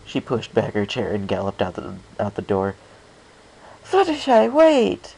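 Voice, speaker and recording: Creaky-voiced man reading a story. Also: deep voice, fairly even intonation, moderate pace, a little mellow, poor audio. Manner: stumbles and rereads phrases. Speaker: story reader. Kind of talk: first-person storybook narration.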